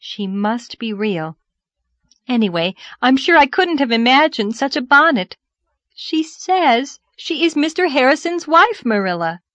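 A high, swooping voice for a sweet young schoolteacher in a storybook , acted by a woman narrator. She is dreamy, easily flustered and earnest, with breathless exclamations, in a close dry recording. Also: sing-song, a little slow, fairly bright tone, no echo, full character voice. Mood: excited. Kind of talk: audiobook character voice.

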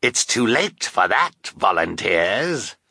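A man's voice: on the low side, very animated, takes it slowly, sharp tone, no echo, studio quality, heavily acted. A man voicing a gloating, scheming theatrical villain in a storybook, with a triumphant, slyly coaxing manner.

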